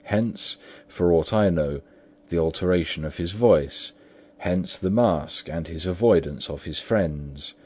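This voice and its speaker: A man voicing a middle-aged gentleman lawyer who speaks formally and deliberately. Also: low-pitched. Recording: dull lo-fi recording.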